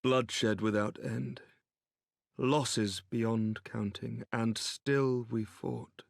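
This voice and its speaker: A man playing an earnest, resolute young noble leader in a fantasy game, with formal, measured oratory. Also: medium pitch, fairly even intonation, vocal fry, no room sound, studio quality. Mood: grave.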